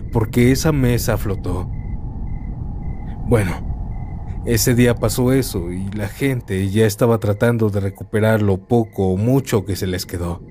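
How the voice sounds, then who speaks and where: A man reading first-person horror stories aloud as a scripted narration, in a calm, steady storytelling manner. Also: lowish voice, a little hiss or hum.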